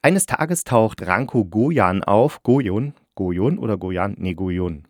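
A man's voice: fairly low pitch, animated, a little slow, darkish tone, dry recording, studio quality. A man hosting a podcast in a casual, chatty style, often correcting himself as he reviews. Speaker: podcast host and radio drama reviewer.

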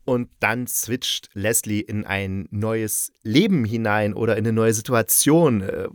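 Deep-voiced man, casual spoken review. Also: measured pace, no room sound, studio quality. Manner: chatty, opinionated, with digressions. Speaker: radio play critic and podcast host.

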